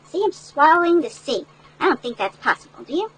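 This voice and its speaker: Older lady, grandma age, high swoopy voice reading a kids book w little chatty asides, bit of noise. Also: very animated, brisk, a bit bright, poor audio. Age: older, great-grandmother. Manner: folksy, explains words to young children. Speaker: storyteller reading a picture book to children. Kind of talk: animated read-aloud with chatty asides. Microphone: headset.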